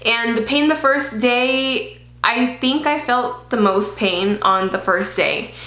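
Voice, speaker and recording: A woman's voice: on the high side, slow, sharp tone. A woman talking casually in a personal vlog, with lots of fillers, occasional swearing and a slight lisp from dental aligners. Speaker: vlogger. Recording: roomy echo.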